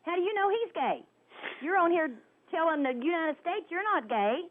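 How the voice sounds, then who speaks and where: A woman's voice: very high-pitched, sing-song, moderate pace. Blunt woman on a narrow phone line. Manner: blunt, scolding. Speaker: radio phone-in caller. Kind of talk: casual argumentative phone-in chat.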